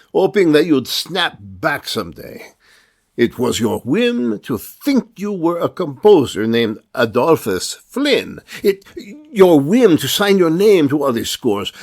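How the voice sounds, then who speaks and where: An actor playing a genial, self-justifying asylum doctor in a radio drama, measured and theatrical. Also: male voice, on the high side, darkish tone, exaggerated voice. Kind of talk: old-time radio drama acting.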